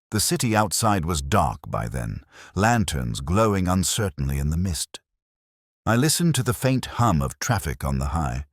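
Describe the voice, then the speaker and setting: A man narrating a first-person detective story in a measured, solemn, literary manner. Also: low-pitched, dry recording, clean studio sound.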